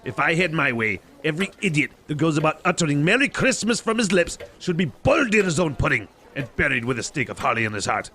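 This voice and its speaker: A man playing a bitter, miserly old businessman with a British accent, gruff and sarcastic, in an amateur audio drama. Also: on the high side, big pitch swings, fast, few pauses, bright, forceful tone. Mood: scornful. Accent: put-on British. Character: bitter, miserly old male London businessman, scornful and curt.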